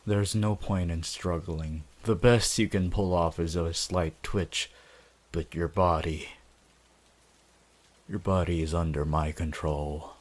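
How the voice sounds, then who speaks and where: A young anime villain hypnotist, played by a man, deep and very unhurried. He is calm, cold and menacing, drawing out each phrase for ASMR. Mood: gloating, hypnotic. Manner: drawn-out, deliberate phrasing, mock-polite.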